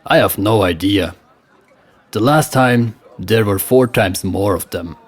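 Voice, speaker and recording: A man's voice: fairly low pitch, a little slow, some chatter behind. A man voicing an eager, loyal Norse warrior companion.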